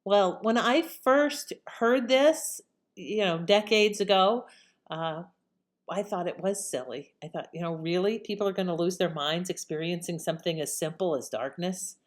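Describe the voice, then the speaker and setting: A woman's voice: on the low side, normal speed. A middle-aged or older woman giving casual, reflective commentary as a presenter.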